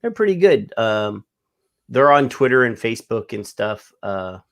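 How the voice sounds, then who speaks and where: A man's voice: medium pitch, big pitch swings, unhurried, gravelly, fairly bright tone. A man hosting a casual podcast chat, telling rambling stories with lots of fillers. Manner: rambling storyteller, many fillers. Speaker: podcast host.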